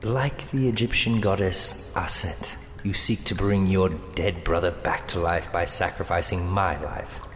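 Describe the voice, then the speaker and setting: A man's voice: low-pitched, steady tone, unhurried, few pauses, a bit bright, a little hiss or hum. An actor playing a menacing, amorous male villain in an audio drama, speaking in a theatrical, taunting and seductive way. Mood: taunting menace. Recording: long cavernous reverb with music underneath. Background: dramatic music.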